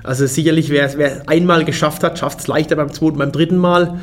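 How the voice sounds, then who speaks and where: Fast-talking German man in his forties. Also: on the high side, fairly even intonation, slight background noise. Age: 40s. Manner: fast, restarts and repeats words. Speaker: race organizer executive. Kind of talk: casual interview talk.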